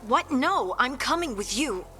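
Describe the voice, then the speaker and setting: A woman's voice: high-pitched, sing-song, measured pace, sharp tone, soft background music, full character voice. An actress playing a plucky, loyal female scout in a video game, earnest and straightforward. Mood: determined. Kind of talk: video game dialogue acting.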